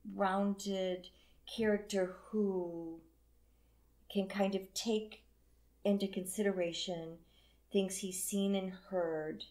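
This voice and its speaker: A middle-aged or older woman guest giving her impressions in a casual, reflective chat, with thoughtful, halting phrasing. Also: mid-range voice, steady tone, darkish tone.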